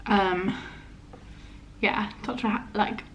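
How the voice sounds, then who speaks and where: A young woman with a stammer, chatting casually to camera in a halting, hesitant way, often trailing off. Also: mid-range voice, steady tone, a bit bright, slight background noise. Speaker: vlogger.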